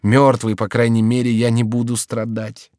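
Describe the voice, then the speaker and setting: A man's voice: mid-range voice, completely flat delivery, normal speed, a little mellow, no echo, studio quality, pitched above the performer's own voice, close to an everyday voice. A man voicing an anguished young count. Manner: impassioned, pleading, declamatory. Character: young male count, lovesick and despairing.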